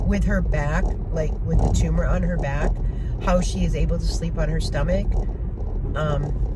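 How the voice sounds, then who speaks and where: A woman with a low voice talks casually to her viewers from behind the wheel, sharing her opinions in a chatty way. She sounds a little congested from a head cold. Loud car and road noise runs under her voice. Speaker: vlogger. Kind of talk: casual chat while driving. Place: inside a car while driving. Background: road and traffic noise.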